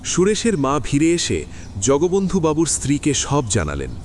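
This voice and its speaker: A man narrating a classic story in an audio drama, with expressive storytelling. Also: fairly high pitch, normal speed, slight background noise.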